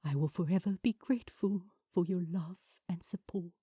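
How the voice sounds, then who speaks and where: A woman voicing a kind, gentle male children's television host in an audiobook, speaking slowly, tenderly and earnestly in a husky, breathy voice. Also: lowish voice, no room sound, phone-quality sound, voice pushed down. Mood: tender, emotional. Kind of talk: audiobook character acting.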